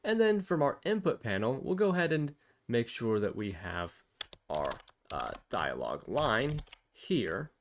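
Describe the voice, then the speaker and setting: A man's voice: mid-range voice, sing-song, a little slow, a little hiss or hum. A man presenting a casual step-by-step programming tutorial, explaining and thinking aloud as he demonstrates.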